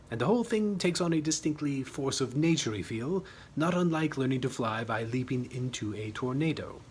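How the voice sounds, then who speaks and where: Man narrating over clear background noise. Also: on the high side. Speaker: author reading own story. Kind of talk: scripted story narration.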